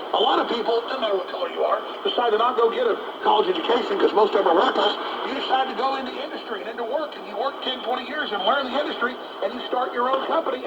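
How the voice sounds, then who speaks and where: Gravelly, high-pitched man ranting over noisy radio. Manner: indignant, emphatic. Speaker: talk show host. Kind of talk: political commentary rant. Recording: noisy muffled shortwave radio broadcast.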